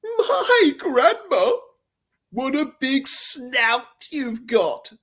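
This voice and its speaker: A woman's voice: high voice, sing-song, extremely slow, a bit bright, voice pushed up, exaggerated voice. A woman doing a nasty, snarling bratty girl. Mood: mocking.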